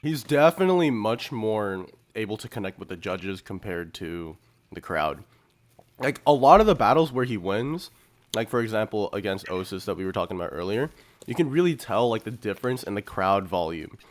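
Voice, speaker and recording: Man, lowish voice, podcast co-host, casual, explanatory, slangy. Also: big pitch swings, moderate pace. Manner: conversational, slangy, frequently says like.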